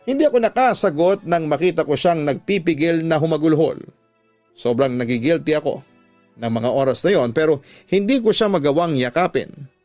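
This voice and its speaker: Higher-voiced male radio drama narrator, dry sound. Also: lively intonation, normal speed, darkish tone, no room sound. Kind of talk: dramatic first-person scripted narration of a love story. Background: music.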